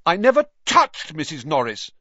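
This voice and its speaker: A man's voice: fairly high pitch, animated, moderate pace, bright, forceful tone, dry recording, dull audio, voice pushed up. A man voicing an anxious, defensive schoolboy wizard, earnestly and nervously protesting in an audiobook story.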